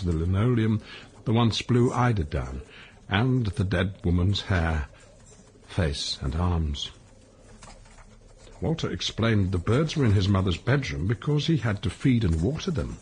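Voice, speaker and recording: A man as the narrator of a radio play, telling a bleak life story in a deliberate, matter-of-fact, sober way. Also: lowish voice, slight background noise, natural voice. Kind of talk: scripted radio drama narration.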